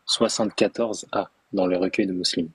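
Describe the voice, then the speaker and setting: A man's voice: sits low, steady tone, moderate pace, husky. A man in a heated online debate, insistent and interrupting, demanding sources over a voice call.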